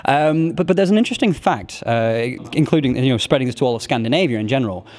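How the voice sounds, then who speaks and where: A man's voice: medium pitch, animated, fast talker, a little mellow. A man interviewing a guest, asking curious, chatty questions.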